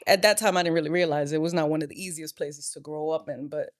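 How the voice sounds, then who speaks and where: A woman's voice: sits low, steady tone, quick pace, no room sound, clean studio sound. A woman, an interview guest sharing her life story in a reflective, hesitant way. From Brooklyn, New York. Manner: reflective, hesitant, with many fillers. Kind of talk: personal testimony interview.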